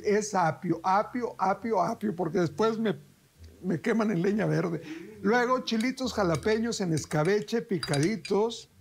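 A man's voice: high voice, vocal fry, a little hiss or hum. A middle-aged man, hosting a TV cooking show in a folksy way and talking to viewers as family. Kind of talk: TV cooking demonstration. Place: TV kitchen studio set.

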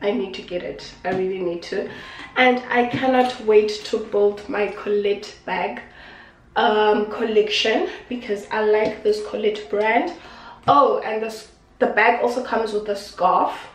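A woman's voice: medium pitch, steady tone, a little slow, recorded from a distance. A young woman from South Africa, sounding congested, chatting casually to camera as she unboxes a new handbag. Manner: chatty, affectionate, repeats herself. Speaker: beauty vlogger. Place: small indoor kitchen. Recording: echoey room, voice off-mic.

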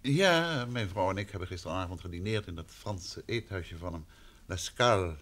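An actor playing a polite, probing gentleman investigator in a vintage radio play, patient and attentive. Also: low-pitched, lively intonation, heavily acted. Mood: courteous, probing. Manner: polite, questioning, measured.

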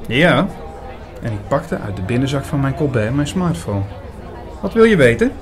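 A man playing an earnest older priest who narrates a radio drama in a measured way, with dramatic pauses. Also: mid-range voice, very animated, natural voice. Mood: thoughtful, eager. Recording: busy chatter behind the voice. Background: busy restaurant crowd.

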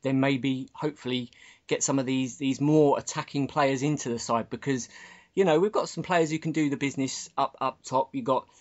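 A man hosts a casual sports podcast in a mid-pitched voice. The audio is dull and lo-fi, and he talks like he is among mates. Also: steady tone, normal speed. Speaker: podcast host.

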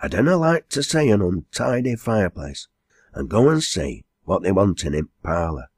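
A man's voice: low voice, sing-song, moderate pace, darkish tone, husky, no room sound, studio quality, full character voice. A man voicing a kindly but bossy landlady in a storybook reading, with a Northern English accent and a homely, plain-spoken manner.

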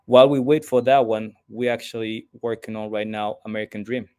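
A man from Somalia, guest on a video-call interview, talking haltingly with many fillers. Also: lowish voice, fairly even intonation, a little mellow, smooth. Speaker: guest filmmaker. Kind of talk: casual interview. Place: home room.

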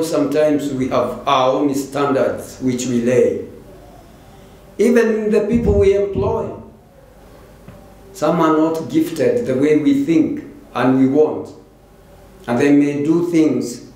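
An older man with a Kenyan accent, a pastor preaching a sermon slowly and deliberately into a pulpit microphone in a large church. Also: fairly high pitch, darkish tone. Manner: slow, deliberate preaching with repeated phrases. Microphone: stand mic at the pulpit. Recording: very echoey church hall.

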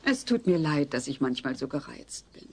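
A woman playing an irritable but caring pregnant wife and mother, expressive and exasperated, in a German radio play. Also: deep voice, moderate pace, husky, heavily acted. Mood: patient.

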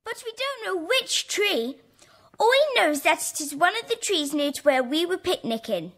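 Earnest child adventurer for children's audio drama, high and bright, emphatic. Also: female voice, big pitch swings, normal speed, no room sound, exaggerated voice. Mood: anxious. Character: earnest schoolchild in a secret club.

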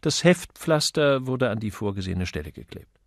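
Gravelly male audiobook narrator. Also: on the low side, lively intonation, normal speed, no echo, clean studio sound. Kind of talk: expressive scripted literary narration.

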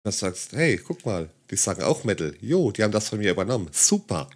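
Sarcastic low-voiced male commentator. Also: sing-song, fairly quick. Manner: sarcastic, condescending. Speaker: satirical commentator. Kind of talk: mocking video commentary.

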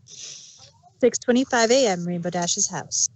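A woman's voice: medium pitch, slow pace, low-quality recording. A woman reading scripted narration as a narrator.

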